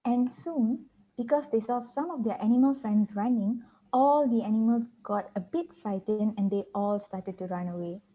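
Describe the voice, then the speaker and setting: Young woman narrator, fairly high voice, reading a children's tale, slightly muffled audio. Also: moderate pace, hardly any pauses, dark tone. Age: teenager or young adult student. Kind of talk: scripted storytelling, readers theater.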